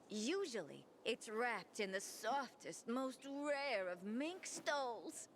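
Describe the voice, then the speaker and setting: A flamboyant, vain diva, high-pitched and swooping all over the place. She speaks of herself in the third person with grand theatrical flair, in a game scene with a faint hiss behind her. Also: a bit bright, heavily acted. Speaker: singer. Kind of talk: video game dialogue.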